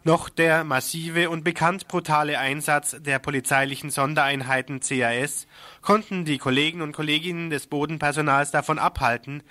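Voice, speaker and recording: A man's voice: fairly high pitch, pushed and bright, dry recording. A man reading a scripted radio news report, with a critical, ironic edge in his wording.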